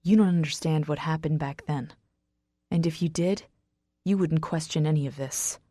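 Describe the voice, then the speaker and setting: Woman voicing a brooding, rasping, possessive man. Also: deep voice, moderate pace, warm tone, dry recording, studio quality. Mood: tense, bitter. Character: brooding, possessive, hot-tempered man, an ex-lover. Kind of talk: audiobook character acting.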